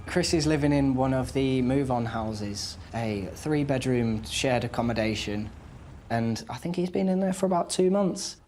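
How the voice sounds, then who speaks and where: A man's voice: medium pitch, lively intonation, moderate pace, a little mellow, a little hiss or hum. A man, a support assistant speaking informally in an interview about his work.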